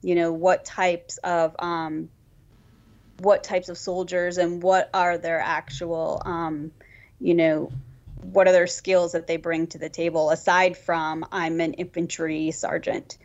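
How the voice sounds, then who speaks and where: A woman answering as an Army guest on a podcast, explaining clearly with some fillers. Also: fairly low pitch, little pitch movement, slow, lo-fi sound.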